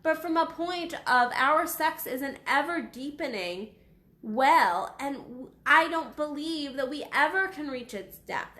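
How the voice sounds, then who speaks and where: A woman in her thirties with a fairly high voice that sounds raspy from being unwell. She talks frankly and casually, swears freely, and comes across as blunt. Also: a bit bright, reverberant. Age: 30s. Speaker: sex coach. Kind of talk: casual talk to camera. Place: small waiting room at her office.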